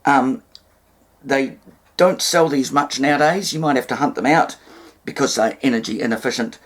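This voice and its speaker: A man with a medium-pitched voice presents a practical demonstration in an informal style. He explains each step as he goes, somewhat hesitantly, like a hobbyist showing how something is done. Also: normal speed.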